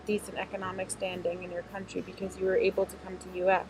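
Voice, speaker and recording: A woman narrating a documentary, calmly stating her assumptions about the people she films. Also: on the low side, fast talker, noisy background. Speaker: interviewer voicing her assumptions.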